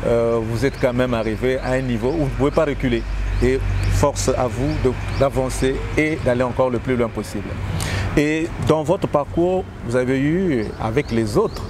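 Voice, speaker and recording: Man, interviewer, formal and polite, medium pitch, street noise, birds. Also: moderate pace. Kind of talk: interview questions. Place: outdoors in a city. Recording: noisy outdoor street with birds and traffic. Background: birds and passing vehicles.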